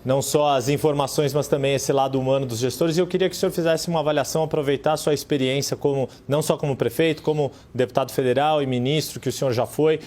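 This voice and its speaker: A man's voice: medium pitch, fairly even intonation, quick pace, hardly any pauses, darkish tone. A man who is a news reporter, asking long, polite, detailed questions in a live interview.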